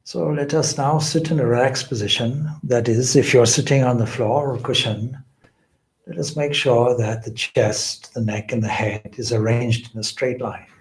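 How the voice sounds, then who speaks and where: A man leading a guided meditation as a spiritual teacher, with deliberate, measured phrasing and pauses. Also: mid-range voice, fairly even intonation. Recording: echoey room.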